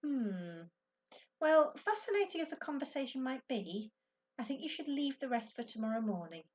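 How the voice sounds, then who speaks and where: A woman's voice: sits high, very animated, normal speed, darkish tone, pitched above the performer's own voice. A woman voicing a stern but caring mother in a storybook, firm and no-nonsense. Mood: gently firm.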